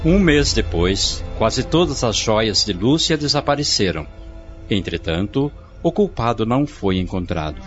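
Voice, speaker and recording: A man narrating a radio drama in a steady, formal way. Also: fairly low pitch, a little slow. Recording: muffled radio play with music.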